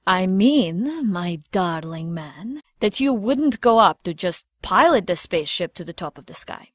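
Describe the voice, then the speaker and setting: A woman's voice: lowish voice, animated, unhurried, dry recording, clean studio sound. A woman playing a confident, teasing ex-agent and operations chief in an audio drama, with a playful, coaxing manner. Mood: sly, persuasive.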